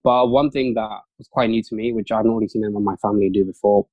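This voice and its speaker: A young man whose first language is Urdu speaks fast and loosely, piling on fillers and restarts. His voice sits a little low. The recording is close and dry. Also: steady tone, a little mellow, no echo, highs cut off. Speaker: interview guest, junior doctor. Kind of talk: casual interview talk.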